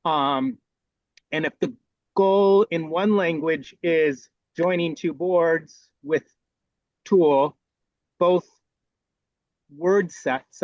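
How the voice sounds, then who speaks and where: Guy talking real slow and thoughtful, lots of ums, kinda muffled call audio, dry. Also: on the high side, dry recording. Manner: measured and reflective, many um pauses. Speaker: discussion participant. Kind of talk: group discussion contribution.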